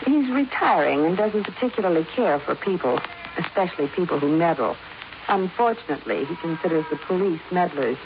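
Through muffled, lightly hissing old radio audio comes a well-to-do wife in her thirties. She is poised and formal, speaking in measured fifties radio-drama style. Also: lowish voice, lively intonation. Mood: coolly resigned. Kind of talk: 1950s radio drama acting. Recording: muffled, hissy 1950s radio recording.

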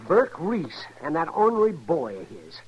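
An actor playing a cantankerous, flustered older frontier doctor who sputters and grumbles in a folksy way in an old radio western. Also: male voice, a little high, big pitch swings, moderate pace, a little mellow, husky, lo-fi sound. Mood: grumbling. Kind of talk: 1950s radio western acting. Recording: noisy old radio recording.

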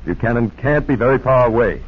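A man's voice: medium pitch, lively intonation, brisk, slightly rough. Commanding radio-drama posse lawman, swooping delivery. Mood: grave. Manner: urgent, authoritative, barking orders. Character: commanding, level-headed lawman leading a hound posse. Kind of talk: 1940s radio acting. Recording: noisy, muffled 1940s radio recording.

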